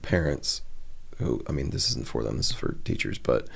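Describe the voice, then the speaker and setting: American guy, deep gravelly voice, wry and opinionated, just chatting on a podcast. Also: big pitch swings, normal speed. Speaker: podcast host, educator. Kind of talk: casual podcast conversation.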